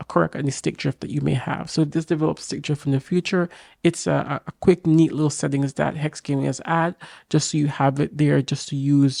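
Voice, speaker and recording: A young man with a raspy voice from a cold, casually and eagerly reviewing a game controller to camera in a small home studio. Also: fairly high pitch, dark tone, no room sound. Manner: enthusiastic, talks straight to camera, many fillers. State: getting over a cold. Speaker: tech reviewer. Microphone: boom mic on arm.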